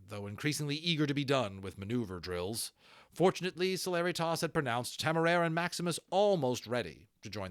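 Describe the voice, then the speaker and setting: Animated man narrating an adventure novel. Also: mid-range voice, no room sound, studio quality. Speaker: audiobook narrator. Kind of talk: expressive storybook narration with brief asides to listeners.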